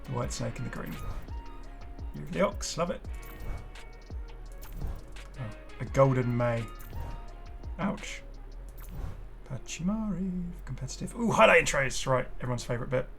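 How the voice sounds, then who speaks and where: A man's voice: medium pitch, expressive, measured pace, gravelly, pauses often, a bit bright, soft background music. A man hosting a gaming channel, giving casual, unscripted commentary in a chatty, enthusiastic way and prone to exclaiming. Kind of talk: casual unscripted reaction commentary on game cosmetics.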